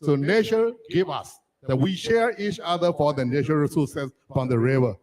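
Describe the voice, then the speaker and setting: Halting Arakanese-speaking man from Myanmar. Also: on the high side, darkish tone. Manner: halting, restarts sentences, non-native phrasing. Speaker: panelist. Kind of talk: personal story at a panel discussion.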